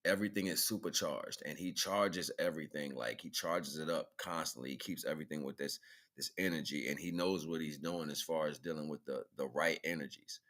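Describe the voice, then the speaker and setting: A man's voice: extremely low pitch, brisk, a bit bright. A man talking casually and informally as the host of an online livestream, rambling and colloquial as he addresses his listeners.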